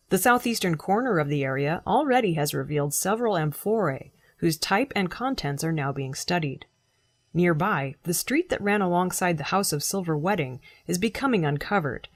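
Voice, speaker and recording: A woman reading scripted news as a presenter, in a measured, formal delivery. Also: on the low side, dry recording. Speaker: news reader.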